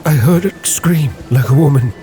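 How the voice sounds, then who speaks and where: A man's voice: medium pitch, normal speed, warm tone, voice pushed up, lightly acted. A man playing a frightened sailor in a sea tale, urgent and trembling, over music. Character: frightened, shaken sailor recounting a sea horror.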